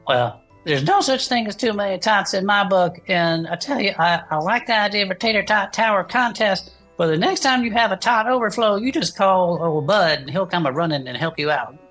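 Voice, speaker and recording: A man playing a folksy, good-natured small-town radio co-host with a Southern US accent and a husky, drawling, enthusiastic delivery. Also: sits high, fairly quick, few pauses, fairly bright tone, soft background music. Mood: folksy, wholesome. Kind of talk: improvised in-character audio drama chat show.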